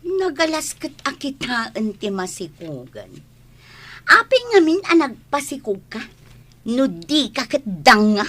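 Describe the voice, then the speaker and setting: A woman's voice: on the high side, big pitch swings, a little slow, fairly bright tone, exaggerated voice. A woman playing a spiteful, nagging, mocking older sister in an Ilocano radio drama, with an Ilocano accent. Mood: scolding. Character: spiteful, scolding older sister in a village household.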